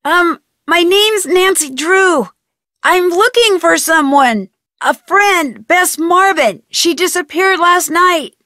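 A woman's voice: extremely high pitch, expressive, slow, sharp tone, no echo, studio quality, heavily acted. An actress playing an inquisitive, polite young woman detective in an adventure game, speaking earnestly. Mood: strained, pleading. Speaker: video game lead character. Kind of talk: scripted adventure game dialogue.

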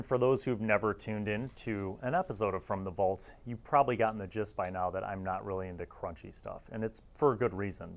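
A middle-aged man hosting a casual, opinionated review, speaking bluntly and conversationally, often checking in with a tag question. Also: lowish voice. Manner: conversational, blunt, often ends phrases with "right?". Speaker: host. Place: small dark indoor studio. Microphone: lapel mic.

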